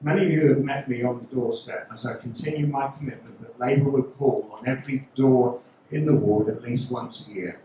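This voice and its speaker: A man's voice: mid-range voice, fairly quick, highs cut off. A man delivers a prepared speech as a local election candidate, working steadily through his points. He is recorded in a school hall with heavy echo. A faint hiss sits underneath. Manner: steady, reads points as a list. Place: school hall at a public meeting. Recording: echoey hall.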